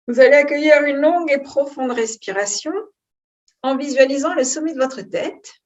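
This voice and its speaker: Slow-paced woman guiding yoga breathing. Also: on the high side. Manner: calm, unhurried, soothing. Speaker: yoga teacher. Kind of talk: guided yoga and breathing instruction.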